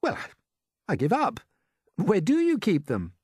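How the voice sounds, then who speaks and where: A man's voice: high-pitched, wildly swooping pitch, measured pace, a little mellow, slightly rough, dry recording, clean studio sound, voice pushed up, exaggerated voice. Man voicing a polite, wondering small boy. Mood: puzzled, incredulous. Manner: earnest, wondering. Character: small boy, polite, curious, wide-eyed. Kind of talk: storybook character voice.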